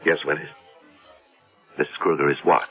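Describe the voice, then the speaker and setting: An actor with an American accent playing an intense, stubborn, devoted husband in a radio drama. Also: male voice, very deep voice, little pitch movement, moderate pace, breathy edge. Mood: stubborn, commanding. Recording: narrow muffled radio recording with music.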